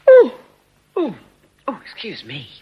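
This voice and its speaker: A woman's voice: high-pitched, wildly swooping pitch, slow pace, highs cut off, slight background noise, heavily acted. An actress in a radio drama playing an eager, slightly nervous woman accomplice, chatty, polite and hesitant. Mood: flustered, polite.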